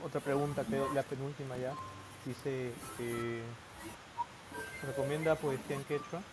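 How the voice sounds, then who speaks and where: A man hosting a casual live interview in a tentative, halting way. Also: medium pitch, little pitch movement. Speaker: interview host. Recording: noisy live-stream audio.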